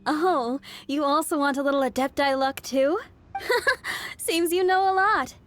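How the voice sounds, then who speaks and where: High-voiced friendly young townswoman. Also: measured pace, a bit bright. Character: friendly young local townswoman sharing festival luck. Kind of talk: video game voice acting.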